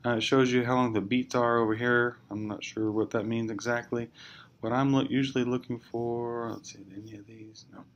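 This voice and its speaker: A man giving an informal, step-by-step software tutorial in a hesitant, explanatory way. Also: mid-range voice, level tone, normal speed, dull audio. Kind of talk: informal screencast walkthrough. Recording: clear background noise, amateur screencast.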